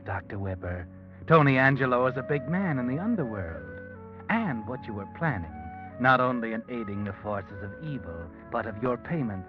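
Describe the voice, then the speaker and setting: A vintage radio recording, dull in tone, with faint music behind. A man plays a knowing mystery narrator with a mid-pitched voice, speaking in a sardonic, insinuating, deliberate way that sounds ominous. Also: lightly acted. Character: ominous, knowing male narrator addressing the villain.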